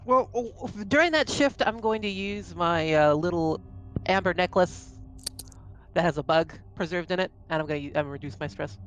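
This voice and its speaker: A woman's voice: on the low side, a little fast, a little hiss or hum. A woman chatting casually as a player in a tabletop roleplaying game.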